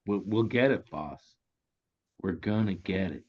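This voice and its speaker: A man reading the part of a dogged, obedient henchman who stammers his pledges in a halting way. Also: sits low, lively intonation, slightly rough, heavily acted. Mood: grimly determined. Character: dogged, obedient male henchman of a crime boss. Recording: dull lo-fi online call.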